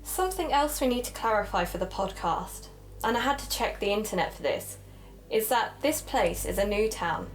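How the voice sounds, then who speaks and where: A woman's voice: medium pitch, big pitch swings, normal speed, faint music behind, close to an everyday voice. A woman playing a keen young amateur podcaster in an audio drama, chatty and explanatory in manner. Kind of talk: naturalistic audio drama dialogue and narration.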